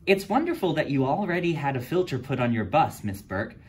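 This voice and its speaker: An enthusiastic storybook teacher, as a man reading aloud puts her on greets her class in a lifted, cheerful voice. She sounds warm toward the children. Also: a little high, big pitch swings, fairly quick, slight background noise.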